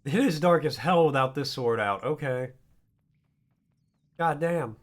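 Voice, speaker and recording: A hoarse man streaming a video game, joking and rambling casually. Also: a little high, unhurried. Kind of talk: casual gaming stream chat.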